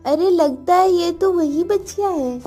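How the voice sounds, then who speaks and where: A woman voicing a childlike, tender-hearted young village wife in an animated bedtime story, with a girlish, pleading way of talking. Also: very high voice, a little slow, faint music behind. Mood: curious, tender.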